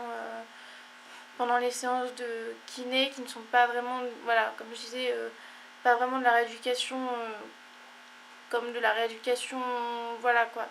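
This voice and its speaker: Young female vlogger, congested and tired, meandering delivery, flat intonation. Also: on the high side, creaky, a little hiss or hum, poor audio. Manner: rambling, many fillers and apologies. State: stuffy, congested. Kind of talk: casual chat to camera.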